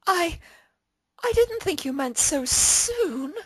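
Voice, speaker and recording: A woman's voice: extremely high pitch, big pitch swings, slow pace, dry recording, pitched above the performer's own voice, exaggerated voice. A woman voicing a refined, prim female pony cellist with polite, formal phrasing, in a fan fiction story reading. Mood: flustered.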